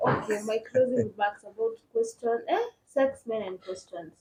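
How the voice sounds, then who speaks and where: A woman's voice: fairly high pitch, very animated, moderate pace, vocal fry, a little mellow. A woman with a Kenyan accent co-hosting a podcast, teasing and laughing often in casual banter. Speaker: podcast co-host.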